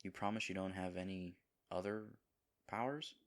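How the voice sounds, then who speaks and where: A man playing a sweet, dim himbo boyfriend in an audio roleplay, talking in a halting, rambling, flustered and earnest way. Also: low-pitched, close to an everyday voice. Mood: curious, unsure. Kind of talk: audio roleplay acting, intimate one-sided dialogue.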